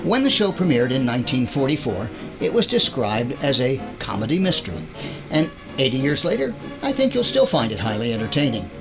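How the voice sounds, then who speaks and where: A man's voice: mid-range voice, very animated, fairly quick, music in the background, low-quality recording. A man hosting an audio drama introduction in a chatty, playful, wry conversational style.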